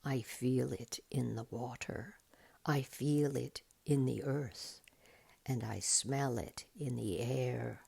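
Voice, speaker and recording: A woman voicing an ancient male tree giant in a storybook, slow, solemn, sad and fond, with drawn-out words. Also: a bass voice, creaky, dark tone, slightly rough, pitched below the performer's own voice, full character voice.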